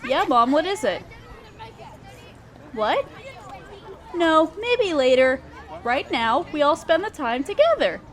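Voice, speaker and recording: Sweet high-voiced girlfriend, acted by a woman. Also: sing-song, slow, pauses often, some chatter behind, heavily acted. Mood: cheerful, excited. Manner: sweet, soothing, tender. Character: affectionate, reassuring girlfriend bringing partner to meet family. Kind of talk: roleplay audio acting, addressing the listener as partner. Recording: noisy park and fireworks effects. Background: park sounds, fireworks.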